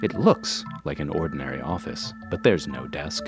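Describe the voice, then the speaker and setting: A man playing a theatrical narrator of a children's play, speaking in a deliberate, mock-grand showman's way. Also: a bass voice, lively intonation, over music, pitched below the performer's own voice. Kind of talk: scripted kids' play narration.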